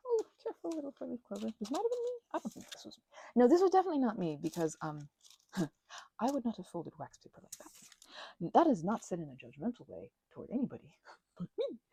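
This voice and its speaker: A woman's voice: on the high side, huge pitch swings, a little fast, vocal fry, mellow, slightly rough, dry recording, low-quality recording. A woman crafting and rambling casually in ASMR style, whimsically talking to herself and the things in her hands, sometimes dropping to a near-whisper. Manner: whimsical, talks to herself and objects. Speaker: crafting vlogger.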